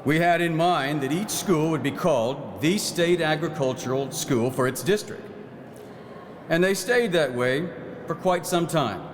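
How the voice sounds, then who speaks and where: A man's voice: on the high side, measured pace, natural voice. Man playing a folksy old-time legislator, echoey hall. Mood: proud, reminiscing. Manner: folksy, plain-spoken storytelling. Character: folksy, proud 1909 Arkansas farm-minded legislator reminiscing. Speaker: actor in historical re-enactment. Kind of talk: theatrical first-person historical monologue. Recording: echoey hall, clear background noise.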